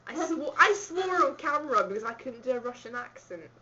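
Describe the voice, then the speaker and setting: A woman's voice: high voice, big pitch swings, a little fast, sharp tone, dull audio, slight background noise. A woman with a slight Scottish accent, chatting and giggling as she works through a questionnaire in a rambling way. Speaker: vlogger. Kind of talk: casual chat answering a questionnaire.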